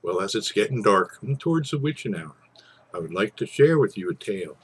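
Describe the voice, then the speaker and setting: A man with a husky voice, speaking as a storyteller and savouring his phrases with theatrical pauses. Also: on the low side, big pitch swings. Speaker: storyteller and poetry reader. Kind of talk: informal introduction, then dramatic poetry recitation.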